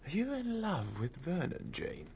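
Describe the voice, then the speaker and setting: Cautious, cultured impresario. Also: male voice, high-pitched, wildly swooping pitch, a little mellow, slightly rough, full character voice. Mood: surprised, probing. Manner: measured, sceptical. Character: cautious, cultured, businesslike male theatre impresario. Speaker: actor in a radio drama. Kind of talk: radio drama acting.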